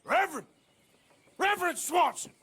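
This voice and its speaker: An actor playing a gruff, world-weary American outlaw gunman with a sharp tongue in a western game. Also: extremely high pitch, expressive, normal speed, noisy background. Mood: exasperated. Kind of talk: cinematic western game acting.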